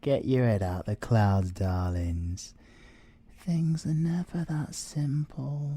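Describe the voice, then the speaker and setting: A British man playing a possessive, scheming siren in an ASMR audio roleplay, seductive and theatrical, with drawn-out words and whispers. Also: mid-range voice, lively intonation, mellow, slightly rough, no echo, full character voice. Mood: mocking, scheming. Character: possessive, scheming male siren, obsessive lover and villain.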